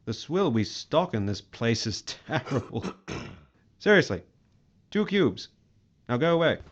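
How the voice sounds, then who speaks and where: An actor playing a genial but condescending, self-satisfied hotel boss in an audio drama, breezily imperious and pompous. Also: male voice, mid-range voice, sing-song, slow. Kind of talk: scripted audio drama acting. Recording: dull lo-fi sound, faint noise.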